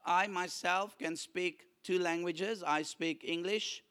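A man whose first language is Afrikaans, preaching a lively sermon and calling out to his congregation for responses. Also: high voice, slow, clear, resonant tone. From South Africa. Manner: animated, calls for audience response.

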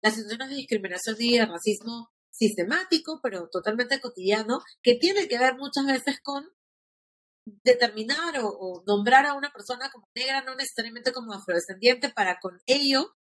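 A woman hosting a podcast, speaking in an articulate, measured way and affirming her guest. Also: a little high, expressive, fairly bright tone, no echo. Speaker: podcast host. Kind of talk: podcast interview.

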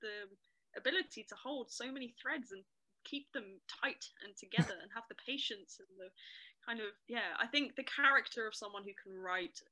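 A young woman, a storyteller and museum guide chatting lively and fluently in an interview, with frequent ums. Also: fairly high pitch, normal speed, rough recording. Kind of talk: casual interview chat.

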